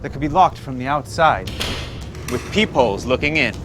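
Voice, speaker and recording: Man acting a mocking accuser, very animated pitch. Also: normal speed, natural voice. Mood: sardonic. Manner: mocking, pointed, dramatic. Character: sardonic, needling male accuser narrating a killer's crimes. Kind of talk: period stage play acting. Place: theatre stage. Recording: live theatre stage recording with music.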